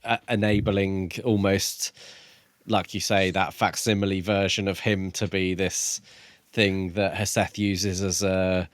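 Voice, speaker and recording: A man's voice: deep voice, level tone, a little slow, dry recording. A man co-hosting a casual book discussion podcast, talking with dry, wry humour. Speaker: podcast co-host.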